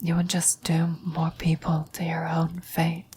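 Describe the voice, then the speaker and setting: Woman acting a slow, whispering dying former lover. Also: sits low, monotone, a little mellow, a little hiss or hum. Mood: grave warning. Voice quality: breathy, whispered. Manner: slow, solemn, deliberate. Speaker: ASMR roleplay voice actor. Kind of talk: ASMR roleplay monologue.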